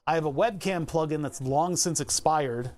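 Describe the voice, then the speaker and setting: A man's voice: a little high, moderate pace, darkish tone. Chatty man streaming on a lapel mic. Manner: easygoing, chuckles often, talks through his screen setup. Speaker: livestreamer. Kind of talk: casual rambling live-stream chat.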